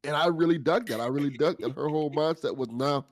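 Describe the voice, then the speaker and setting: Enthusiastic man with a higher voice. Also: fast. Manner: effusive, informal, lightly sweary. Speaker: after-show host of a fiction podcast. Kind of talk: casual enthusiastic discussion.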